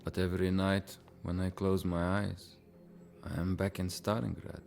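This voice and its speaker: An actor playing a haunted, war-weary Soviet officer who talks in a troubled, bitter way. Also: male voice, low voice, flat delivery, unhurried, creaky, a little mellow, soft background music.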